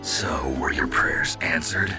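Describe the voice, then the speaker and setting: An actor playing a weary, stoic soldier in a video game, terse and grave, questioning intently. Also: male voice, extremely low pitch, takes it slowly. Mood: guarded, probing. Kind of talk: dramatic video game voice acting. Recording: loud music behind the voice. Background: loud dramatic game score.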